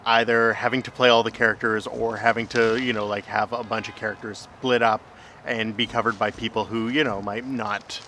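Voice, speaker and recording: Bright-voiced man hosting outdoors. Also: lowish voice, flat delivery, normal speed. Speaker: vlog host interviewing his writing partner. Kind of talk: casual chat interview. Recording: clearly noisy outdoor urban ambience.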